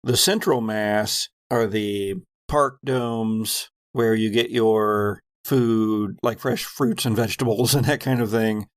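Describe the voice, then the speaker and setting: A man's voice: fairly low pitch, steady tone, takes it slowly, hardly any pauses, clear, resonant tone, no room sound, studio quality. A man narrating and refereeing a tabletop role-playing game as game master, explaining things conversationally.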